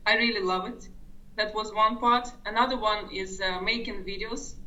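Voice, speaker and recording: A woman's voice: mid-range voice, steady tone, moderate pace, sharp tone, recorded from a distance, lo-fi sound. A woman with a non-native English accent, sharing her personal story sincerely as an interview guest and often restarting her sentences.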